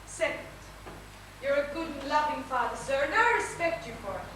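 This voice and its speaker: A woman playing a brisk, wry, businesslike streetwalker with a kind streak in a stage comedy, projecting to the house. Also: high-pitched, expressive, fairly bright tone. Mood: amused, kindly. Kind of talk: live comedic stage play acting. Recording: echoey theatre stage, distant mic, noisy.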